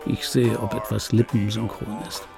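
Gravelly, low-key wry dubbing veteran. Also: male voice, little pitch movement, normal speed, music in the background. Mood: sardonic, confiding. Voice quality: gravelly, husky. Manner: dry, ironic, pointed phrasing with repetitions. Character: wry, self-important male German film dubbing actor recounting his life. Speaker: narrator of a radio play. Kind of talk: intimate radio drama monologue.

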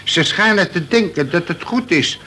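An actor playing a wily, mock-deferential veteran convict in a Dutch radio play, reciting pedantically with bits of prison slang. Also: male voice, high voice, lively intonation, normal speed, sharp tone, slight background noise.

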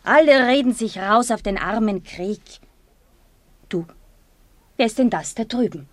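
A woman's voice: a little high, animated, unhurried, no room sound. An actress playing a naive, gossipy woman of the street in a radio drama, breezy and colloquial.

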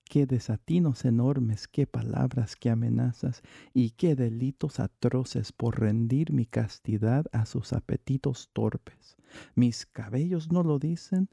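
A man recites a dramatic monologue in classical verse. His voice is very dark and soft-edged, with a gravelly creak and an unhurried pace. The sound is close and dry. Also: mid-range voice, no echo. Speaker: monologue reader.

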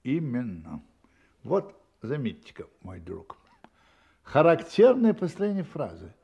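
An actor playing a shrewd, self-assured gentleman detective in a Russian audio play, brisk and theatrically confident. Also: medium pitch, wildly swooping pitch, creaky, slightly rough, muffled recording, heavily acted. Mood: confident, deductive.

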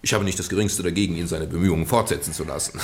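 An actor playing an evasive, indignant young English aristocrat of about thirty in a German radio drama, haughty yet hesitant. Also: male voice, low voice, fairly even intonation, rapid-fire. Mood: flippant. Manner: haughty, hesitant, hedging with polite formality.

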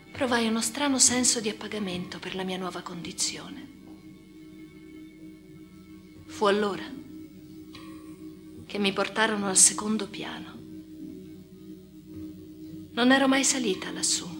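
Actress doing a haunted obsessive woman, breathy half whisper, halting and intense, radio play w music behind. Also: mid-range voice, natural voice. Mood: haunted, detached. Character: haunted, obsessive female amputee confiding in her chosen successor. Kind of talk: intimate radio drama monologue.

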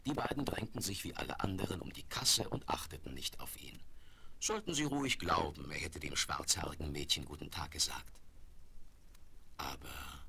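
A man's voice: sits low, creaky. A man playing the storyteller of a children's radio play, reading scripted narration. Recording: crackly 1970s vinyl record rip.

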